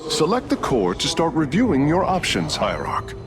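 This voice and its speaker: A man's voice: on the high side, huge pitch swings, moderate pace, noisy background. A man playing a scholarly, dutiful alien engineer in a video game, speaking formally and precisely. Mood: matter-of-fact. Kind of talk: video game cutscene acting.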